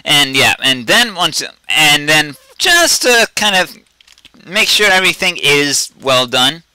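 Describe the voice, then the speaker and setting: A man with a loud voice casually talking viewers through a software tutorial over a screen recording, blunt and rambling, often restarting his sentences. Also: fairly high pitch, very animated, slow, clean tone, dry recording, low-quality recording. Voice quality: self-described loud voice. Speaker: video tutorial host.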